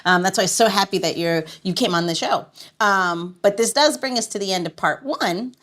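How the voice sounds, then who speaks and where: A woman hosting a podcast in a casual, expressive style, emphatic and prone to repeating her phrases. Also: medium pitch, normal speed. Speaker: podcast host.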